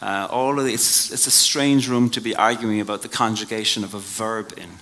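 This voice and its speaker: A low-voiced Irish man speaking at a live venue, reflective and measured as he moves between talk and poetry. His tone is bright and tense, and his phrases sink into quiet endings. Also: fairly even intonation. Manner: measured and reflective, drops to a hush at line ends. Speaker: poet giving a talk. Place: live venue before an audience.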